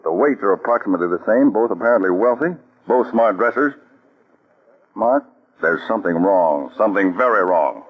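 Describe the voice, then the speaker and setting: An actor playing a calm, methodical male counterspy chief who gives crisp orders, in 1940s radio acting. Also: fairly low pitch, moderate pace. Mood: steady, commanding. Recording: muffled old radio recording.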